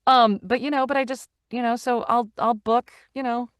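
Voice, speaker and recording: A middle-aged woman, a voice actor and audiobook narrator, chatting in an interview with lively enthusiasm and many restarts. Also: fairly high pitch, normal speed, clear, resonant tone, dry recording. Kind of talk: casual interview chat. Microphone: studio microphone.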